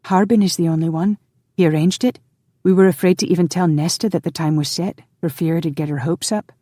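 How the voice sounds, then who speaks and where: A narrator voicing a headstrong young woman who leads a clan, loving, defiant and quick to plead or protest. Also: medium pitch, fairly even intonation, warm tone, no room sound, voice pushed up, lightly acted. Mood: earnest. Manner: pleading, indignant.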